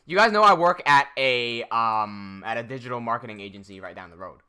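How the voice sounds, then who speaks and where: A man's voice: medium pitch, fairly quick, sharp tone, clean tone. A young man from Hong Kong telling a rambling story to his live-stream chat, full of restarts and quoted back-and-forth.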